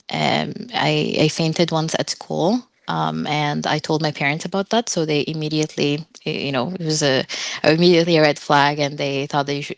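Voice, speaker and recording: A woman in her thirties speaks in a low voice with a gravelly edge. She talks as a podcast guest, halting and full of ums and uhs. The sound is dry and close. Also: no room sound. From Sudan, raised in Oman. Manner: hesitant, many ums and uhs. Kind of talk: casual interview answers.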